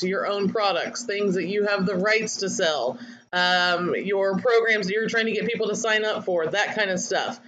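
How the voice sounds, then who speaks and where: A woman's voice: mid-range voice, a bit bright, dull audio, slight background noise. A woman coaching online marketers in a webinar, chatty and candid as she reads out attendees' comments. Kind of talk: webinar teaching.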